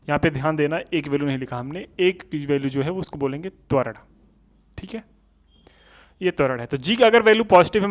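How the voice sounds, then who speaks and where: A man teaches an exam coaching class in a high-pitched voice. He turns each point into a question and repeats it, often checking that students follow. English technical terms are mixed into his explanations. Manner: switches between Hindi and English technical terms. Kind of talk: exam coaching lecture at a board.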